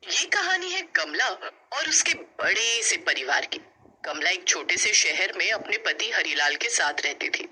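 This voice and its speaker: Very bright, lively woman storyteller. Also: medium pitch, a little slow, a little hiss or hum, rough recording. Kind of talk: scripted story narration.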